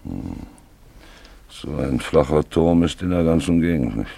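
An actor playing a gruff, superstitious old huntsman in a German radio play, with a gravelly, husky voice and a brusque, practical manner. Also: very deep voice, expressive, measured pace, dark tone, dry recording, heavily acted. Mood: sceptical.

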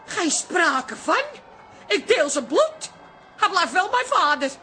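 An actress playing an anxious, loyal daughter and wife in a radio comedy drama, with a plaintive manner. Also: female voice, extremely high pitch, sing-song, slow, music in the background, exaggerated voice. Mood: defiant.

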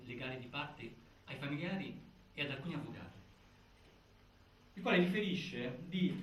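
An actor playing a grave narrator of a prison death inquiry, reciting findings with emphatic delivery and halting pauses in theatrical documentary style. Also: male voice, fairly high pitch, natural voice. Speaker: stage actor narrating an investigation. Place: indoor stage, picked up from afar. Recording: distant, echoey, noisy theatre recording.

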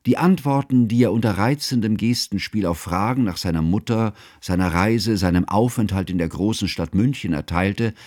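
A man playing a learned, devoted friend who narrates a radio drama in formal, ornate, measured literary style. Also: fairly low pitch, a little mellow, no room sound, clean studio sound, close to an everyday voice. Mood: fond, formal.

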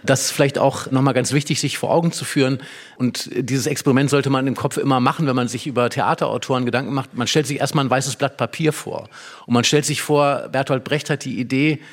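A man speaking as a theatre expert, explaining in a conversational public talk with little imagined exchanges. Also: mid-range voice. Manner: conversational, illustrates with imagined dialogue.

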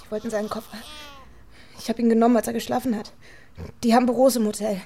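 A woman's voice: on the high side, little pitch movement, fast, warm tone, a little hiss or hum, natural voice. An actress playing a frightened, cornered young mother in a radio crime drama, defensive and pleading, with a tearful voice. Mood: resigned confession.

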